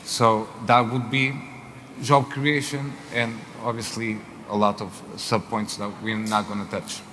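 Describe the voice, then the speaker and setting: A man from Portugal with a Portuguese accent, moderating a fireside chat on stage and speaking haltingly with many fillers. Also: fairly low pitch, steady tone, bright, forceful tone. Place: event hall. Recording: reverberant hall with faint noise.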